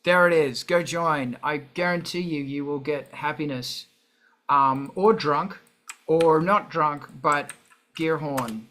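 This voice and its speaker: Bright, high-voiced man hosting. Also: slow. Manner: sweary, jokey, rambling. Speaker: show host. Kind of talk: casual livestream chat.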